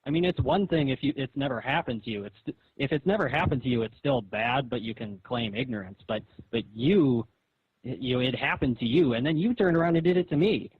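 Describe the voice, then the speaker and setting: A man arguing on a phone call in a halting, repetitive, reproachful way, as a comic artist. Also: mid-range voice, slightly rough. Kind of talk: argumentative phone conversation. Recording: dull roomy phone call recording.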